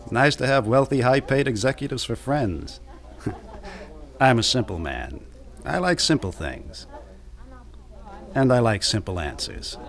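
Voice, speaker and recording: Joking plain-spoken american friend, average pitch, wry, nosy, old radio drama style. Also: male voice, very animated, measured pace, gravelly, long pauses. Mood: wry, teasing.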